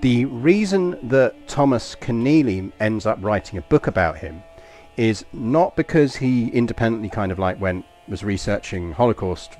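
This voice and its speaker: A man's voice: mid-range voice, animated, normal speed, darkish tone, faint music behind. A man streaming a strategy game, chatting casually and rambling through an aside with fillers and restarts. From Britain. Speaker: gaming streamer. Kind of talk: casual commentary over gameplay.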